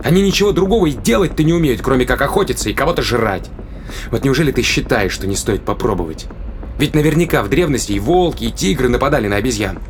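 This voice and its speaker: A man's voice: mid-range voice, animated, fast, a little hiss or hum, natural voice. An actor playing a determined, risk-taking man in an audio drama, arguing insistently and persuasively with rhetorical questions. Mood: insistent, fervent. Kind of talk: dramatic audio play acting.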